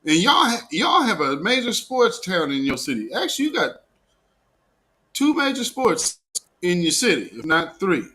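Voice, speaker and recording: A man's voice: high-pitched, wildly swooping pitch, normal speed, pushed and bright. An American man hosting a live commentary show, talking in a casual, opinionated, mocking and sarcastic way. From Portland, Oregon, United States.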